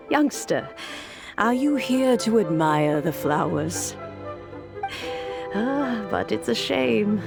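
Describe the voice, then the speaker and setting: A woman voicing a gentle, wise, grandmotherly old woman in a video game, speaking in a measured, wistful way with an aged voice. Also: medium pitch, heavily acted. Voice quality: creaky, aged. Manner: measured, reflective, kindly. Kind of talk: video game character acting. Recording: music behind the voice. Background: game music.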